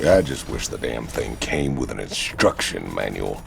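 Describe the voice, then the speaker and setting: A man playing a big, rough space outlaw in a video game, gravelly, blunt and grumbling. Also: extremely low pitch, animated, moderate pace, heavily acted. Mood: uneasy, grumbling. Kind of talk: video game cutscene acting. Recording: clear ship ambience hum behind.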